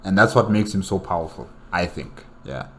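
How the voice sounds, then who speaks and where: Guy with a really low voice reacting to stuff, kinda halting, thinks out loud, bit of background noise. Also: animated. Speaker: reaction show host. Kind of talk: casual reaction commentary.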